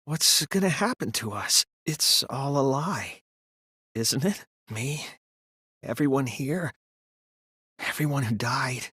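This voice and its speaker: A man voicing an earnest, sensitive young student detective in an anime video game, with intense, emotional, sometimes halting delivery. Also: medium pitch, expressive, bright, forceful tone, breathy edge, dry recording, clean studio sound, full character voice. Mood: despairing, shaken. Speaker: voice actor.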